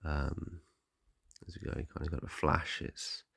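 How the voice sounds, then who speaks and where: A man with a slightly hoarse, croaky voice, giving a casual, meandering, apologetic voice-over commentary as a vlogger. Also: a bass voice, little pitch movement, measured pace, a bit bright, dry recording, studio quality. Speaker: vlogger, film collector.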